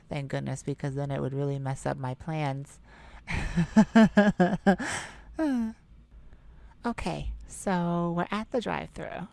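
A woman's voice: lowish voice, darkish tone. A woman playing a jovial, affectionate girlfriend in an intimate, casual ASMR roleplay, with a playful, chatty manner. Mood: cheerful, excited. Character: jovial, affectionate girlfriend treating partner to a night out. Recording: clear background ambient noise. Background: ambient sound effects.

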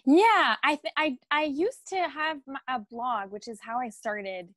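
A young woman from France, speaking in a chatty, unhurried way full of ums and little laughs. Her voice sits a bit high, and the sound is dry and close with almost no room around it. It comes through a laptop or phone on a video call from home. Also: a bit bright, dry recording. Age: young adult. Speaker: guest. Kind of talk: casual video-call interview. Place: home room with art on the wall. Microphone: laptop or phone camera on a video call.

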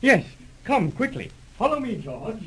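An actor playing a flustered, trusting doctor who stammers fussily, in 1930s radio drama style. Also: male voice, very high-pitched, very animated, measured pace, sharp tone, breathy edge, exaggerated voice, rough recording. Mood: alarmed. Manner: stammering, fussy.

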